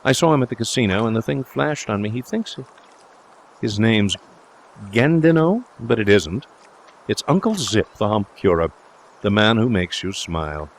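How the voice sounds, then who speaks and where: A man voicing a breezy, confident young gentleman suitor in an audiobook, speaking in a jaunty, reassuring, chatty way. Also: lowish voice, big pitch swings, normal speed. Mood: chatty, confiding. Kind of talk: audiobook character dialogue.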